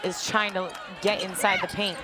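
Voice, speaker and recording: A woman's voice: medium pitch, flat delivery, moderate pace. A young American woman giving live basketball analysis as color commentator, conversational and enthusiastic. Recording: noisy basketball arena ambience.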